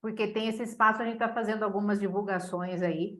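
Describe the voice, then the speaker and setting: A woman's voice: medium pitch, fairly even intonation, a little fast. A woman from Santo André with a São Paulo state Brazilian accent, hosting a course on a casual video call and ending phrases with tag questions. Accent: São Paulo state Brazilian Portuguese. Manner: chatty, many tag questions. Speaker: course host.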